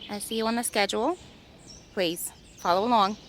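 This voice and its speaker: A woman playing a brisk, deferential receptionist for a crime boss in an audio roleplay. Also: mid-range voice, slight background noise, close to an everyday voice. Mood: brisk, courteous.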